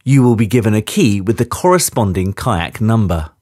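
A man narrating a scripted safety briefing in a clear, measured instructional manner. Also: on the low side, sing-song, a little mellow, no echo, clean studio sound.

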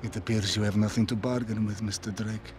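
A man playing a deep, menacing warlord. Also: steady tone, music in the background, natural voice. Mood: contemptuous impatience. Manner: slow, deliberate, menacing, contemptuous. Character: menacing, ruthless male warlord, middle-aged commander, cold and volatile. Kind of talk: video game cutscene acting.

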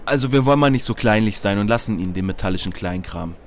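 An actor playing an officious, dry security officer in a parody radio play. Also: male voice, on the low side, fast talker, a little hiss or hum, natural voice. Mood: dry, firm.

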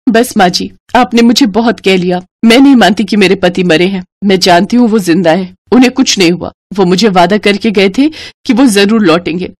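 A woman's voice: mid-range voice, normal speed, no echo, clean studio sound, lightly acted. Woman acting an earnest, steadfast young wife. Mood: defiant.

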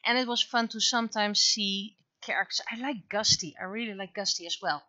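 Middle-aged female streamer, native Dutch speaker. Bright tone, rambling casual chat, slightly dull recording. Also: medium pitch, brisk, no echo. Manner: rambling, thinking aloud. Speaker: streamer and webcomic artist. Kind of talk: casual livestream chat while drawing. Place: indoors at a computer.

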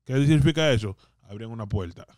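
A man's voice: on the low side, expressive, fast talker, darkish tone, no echo, clean studio sound. A man in his thirties with a Dominican Spanish accent, debating casually and emphatically, repeating phrases and mixing in English. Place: small indoor podcast studio. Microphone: close studio microphone on boom arm.

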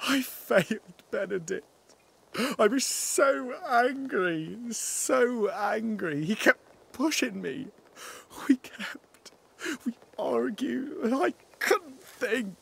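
A man playing a guilt-ridden, devout settler in a video game drama, with a breathy, halting, anguished delivery. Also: very high voice, animated, a bit bright, heavily acted. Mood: anguished, guilty. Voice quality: breathy, husky, breaking into whispers.

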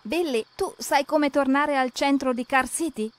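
A woman's voice: high voice, unhurried, dry recording. A woman narrating a children's cartoon, expressively asking questions and talking straight to the characters.

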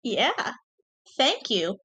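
A woman's voice: on the high side, very animated, drawn-out words, bright, forceful tone, slightly rough, no room sound. A young woman co-hosting a podcast in casual banter, playful and given to mock-dramatic jokes. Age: young adult. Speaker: podcast co-creator and host. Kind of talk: casual banter and thank-you message.

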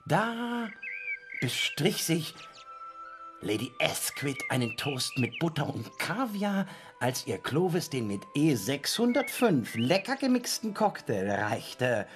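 An actor in a radio play playing a grumpy village constable who pompously dictates a crime novel, halting to correct himself. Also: male voice, a little high, very animated, soft background music. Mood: absorbed, irritable.

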